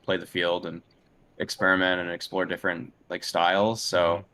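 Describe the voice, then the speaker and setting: A man's voice: deep voice, little pitch movement, normal speed, bright, forceful tone. A man speaking casually as an interview guest, hesitant and searching for words as he thinks aloud. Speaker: interview guest, film and game composer.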